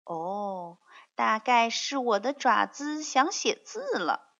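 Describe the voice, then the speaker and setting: A woman's voice: a little high, very animated, slow pace, gravelly, fairly bright tone, muffled recording, full character voice. A woman voicing a curious, plucky lame cat in a fairy tale, exclaiming and wondering aloud. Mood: pondering.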